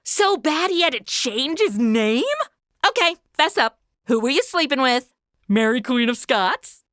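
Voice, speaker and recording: A woman's voice: sits high, animated, unhurried, fairly bright tone, no room sound, studio quality. A woman playing a brash, sarcastic modern sidekick in a comedy audio drama, with a snarky, casual, slangy delivery. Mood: cheeky, incredulous.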